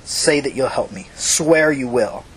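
A man's voice: medium pitch, measured pace, slight background noise, natural voice, low-quality recording. A man voicing a vengeful, foul-mouthed young woman who threatens and commands in short emphatic bursts in an audiobook story. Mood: menacing, commanding.

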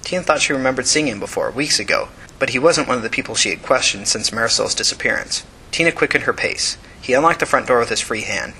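A man narrates prose fiction in a bright, crisp-edged voice at a middling pitch. He reads from a script as a storyteller. There is faint noise and rumble in the recording. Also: rough recording.

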